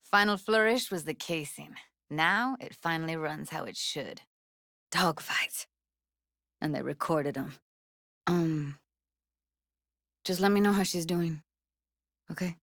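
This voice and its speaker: A tough young cyberpunk techie, with a slightly low voice in a dry, close recording. She talks in clipped street slang and swears freely, blunt on the surface and tender underneath, in a naturalistic video game style. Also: slow, pauses often, fairly bright tone, no room sound, heavily acted. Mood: soft, worried.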